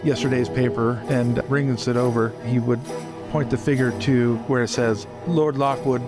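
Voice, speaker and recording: A man's voice: medium pitch, little pitch movement, moderate pace, over music, low-quality recording. A man casually describing his character's moves as a player in a tabletop roleplaying game.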